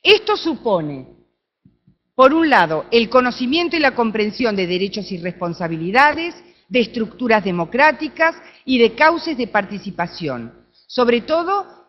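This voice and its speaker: A woman with an Argentine accent giving an academic talk at a conference, often checking in with her audience through quick tag questions. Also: fairly high pitch, muffled recording. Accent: Argentine Spanish. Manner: animated, with frequent tag questions. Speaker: conference speaker. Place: conference room.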